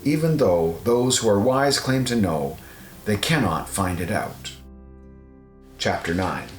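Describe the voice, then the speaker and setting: A man narrating an audio Bible, reading scripted scripture in a measured, solemn recitation. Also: fairly low pitch, expressive. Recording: roomy echo, guitar music underneath.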